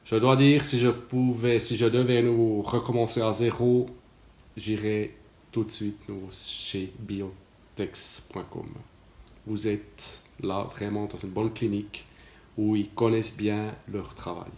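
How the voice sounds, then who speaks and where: A man's voice: fairly low pitch, flat delivery, slow pace, darkish tone, echoey room. A Swiss man in his late forties with a Swiss French accent, giving a casual testimonial, talking hesitantly with many fillers and restarts. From Switzerland. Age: 40s.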